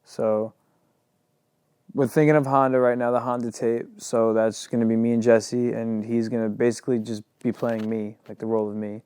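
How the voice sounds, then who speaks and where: A man's voice: medium pitch, flat delivery, normal speed, warm tone, clear, resonant tone, no room sound. A young man, a rapper and singer, talking casually in an interview, rambling and filling his sentences with "like". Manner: loose and rambling, heavy on "like".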